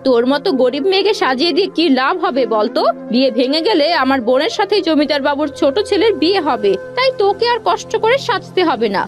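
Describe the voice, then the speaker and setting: Lady doing a sly bossy sister-in-law in a cartoon, high voice, scornful, music behind. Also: sing-song, quick pace, hardly any pauses. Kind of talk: cartoon fairy tale dialogue. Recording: background music under the voice.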